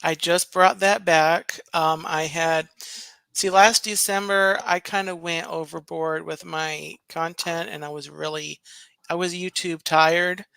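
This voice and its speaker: A soft-spoken man chatting casually on a live stream, unhurried and rambling, with plenty of fillers. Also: on the high side, fairly even intonation, gravelly, clear, resonant tone, no room sound. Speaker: co-host, stream guest.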